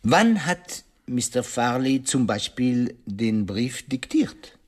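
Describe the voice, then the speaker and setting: An actor playing a shrewd, courteous detective in a radio play, speaking formally and deliberately with French phrases and musing hesitations. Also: male voice, mid-range voice, very animated, slightly rough, exaggerated voice. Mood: methodical questioning. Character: shrewd, courteous male detective, pensive and probing.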